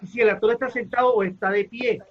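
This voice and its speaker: High-voiced man, slightly muffled. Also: fairly even intonation, a little hiss or hum. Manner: halting, searches for words. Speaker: theater practitioner and panelist. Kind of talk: casual panel discussion.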